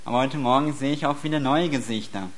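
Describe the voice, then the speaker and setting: A man working as the interpreter for a visiting preacher in a church, repeating each short phrase right after the speaker. He now and then hesitates and restarts mid-phrase, at a voice of middle pitch. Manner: quick, with occasional hesitant restarts. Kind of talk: consecutive sermon interpreting.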